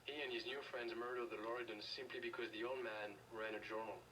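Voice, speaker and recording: A man's voice: mid-range voice, flat delivery, quick pace, bright, forceful tone, close to an everyday voice. An actor playing a defiant, bitter French father in a video game, speaking with a French accent. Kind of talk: video game dialogue acting. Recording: distant, noisy game capture with ambience.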